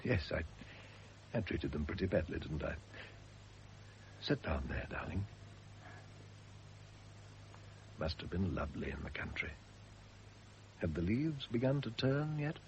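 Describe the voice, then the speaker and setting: Radio play, male gentle doomed husband, reflective and literary, deep register, slightly noisy old recording. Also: very animated, normal speed, long pauses. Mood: wistful, tender. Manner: literary, reflective, tender, with whispered drops. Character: condemned husband, gentle, reflective, calmly facing death. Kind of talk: classic radio drama acting.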